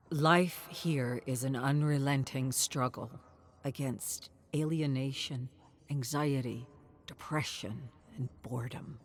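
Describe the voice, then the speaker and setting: A man reading a scripted journal as a dramatic narrator, in a measured, literary storytelling manner. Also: medium pitch.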